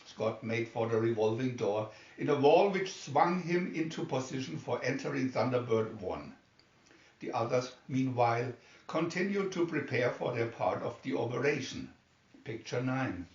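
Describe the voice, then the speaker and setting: A man's voice: mid-range voice, expressive, normal speed. A man reading a scripted adventure story aloud as a dramatic narrator. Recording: muffled, echoey room, distant mic.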